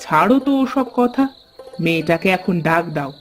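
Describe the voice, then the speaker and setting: A woman playing an aging, reassuring village mother in an animated folktale, with a coaxing, motherly manner. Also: fairly low pitch, moderate pace, faint music behind, close to an everyday voice.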